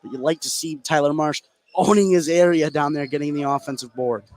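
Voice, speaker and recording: A man's voice: on the high side, fairly quick. A man giving conversational, explanatory color commentary on a live basketball game.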